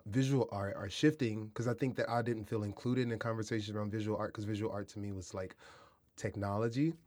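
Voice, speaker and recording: A man in his thirties from Kansas City, a podcast guest talking in a relaxed, reflective way with casual slang. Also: fairly low pitch, brisk. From Kansas City, Missouri, USA. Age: 30s. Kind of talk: casual interview chat.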